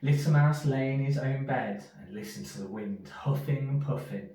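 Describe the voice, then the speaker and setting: A man reading a children's story aloud in an expressive storyteller's manner. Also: mid-range voice, normal speed. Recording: echoey room, distant from mic.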